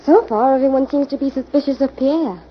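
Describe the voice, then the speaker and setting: Actress as a high-voiced radio detective's assistant. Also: female voice, fairly quick, close to an everyday voice. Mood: pleasant, observant. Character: inquisitive, bright young female detective's assistant. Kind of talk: 1940s radio acting. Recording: noisy, muffled old radio recording.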